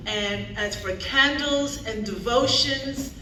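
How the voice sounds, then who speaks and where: An older woman from the audience makes a halting, fervent comment, often restarting her sentences. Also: on the high side, bright, forceful tone, slight background noise. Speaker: audience member. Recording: echoey hall, voice from far off.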